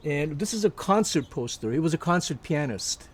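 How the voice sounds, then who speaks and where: Older interviewee, a touch high-voiced, halting and rambling as he reminisces. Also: animated, breathy edge. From Turkey. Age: 70s. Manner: hesitant, many fillers and restarts, chuckling. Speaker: interviewee, musician. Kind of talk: casual life-story interview.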